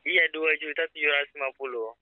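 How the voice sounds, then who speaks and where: A man making a persuasive pitch over the phone, speaking insistently with some hesitation. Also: fast talker, no echo. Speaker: phone caller claiming to be a prize official. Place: on a phone call. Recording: narrow, dull phone line.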